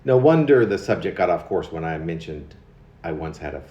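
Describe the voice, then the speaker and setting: A man's voice: low-pitched, expressive, fairly quick, warm tone, echoey room, dull audio. An American man in his late fifties reading his own rambling autobiographical poem in a wry, digressive manner, often repeating words. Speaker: poet reading own work.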